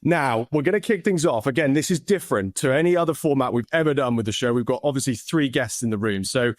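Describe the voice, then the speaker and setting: A man's voice: mid-range voice, fast, no echo, studio quality. A man hosting an interview podcast, effusive and eager, often repeating words and restarting sentences as he asks questions. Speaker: podcast host.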